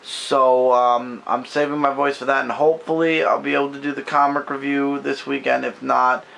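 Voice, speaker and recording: Hoarse guy behind the camera, gravelly fry, rambling and fixing himself as he goes, bedroom. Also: mid-range voice, level tone, normal speed, a bit bright. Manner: rambling, loose and self-correcting. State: losing his voice, hoarse. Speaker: comic review co-host behind the camera. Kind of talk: casual comic book review chat. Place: bedroom at home. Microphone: camera mic, speaking from behind the camera.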